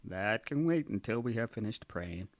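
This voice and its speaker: A man's voice: on the low side, lively intonation, moderate pace, no echo, natural voice. A man playing a bossy, self-righteous militant protest leader in a satirical radio drama. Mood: commanding.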